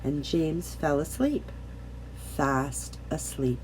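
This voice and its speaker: A woman reading a children's picture book aloud, gently and deliberately, with dramatic pauses. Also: deep voice, big pitch swings, slight background noise, rough recording. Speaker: storybook reader. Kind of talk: expressive children's bedtime read-aloud.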